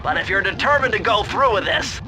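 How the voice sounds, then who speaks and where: An actor playing a brainy, anxious turtle sidekick in a video game, with a fussy, wordy, excitable manner. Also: male voice, high voice, fairly quick. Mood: disapproving. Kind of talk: video game cutscene voice acting. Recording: music under the voice.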